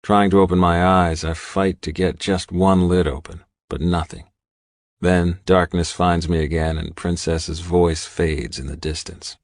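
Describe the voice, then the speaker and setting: Deep-voiced man narrating an audiobook. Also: flat delivery, a little slow, no room sound, studio quality. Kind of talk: first-person dramatic scripted narration.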